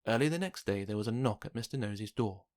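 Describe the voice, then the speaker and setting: A man narrating a children's picture book, reading aloud with expressive, dramatic emphasis. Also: on the low side, quick pace. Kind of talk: scripted storybook narration for children.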